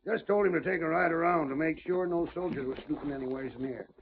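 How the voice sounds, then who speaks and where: A bossy Old West outlaw leader blusters in an American cowboy drawl, high and gravelly. The recording is tinny vintage radio drama. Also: male voice, quick pace, slight background noise. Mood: dismissive. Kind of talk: old-time radio western acting.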